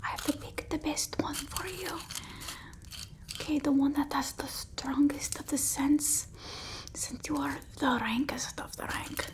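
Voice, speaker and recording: A woman playing a bossy, fussy old-world vampire mistress in an ASMR roleplay, whispering slowly and breathily. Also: sits high, steady tone, rough recording. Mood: fussing, coaxing. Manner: slow, hushed, haughty, fussing over the listener.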